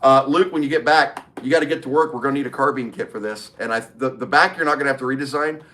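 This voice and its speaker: A man's voice: medium pitch, quick pace, pushed and bright. A middle-aged man, hosting a casual livestream and chatting with viewers, reading their comments aloud and answering them. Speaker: livestream host. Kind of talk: casual live stream chat.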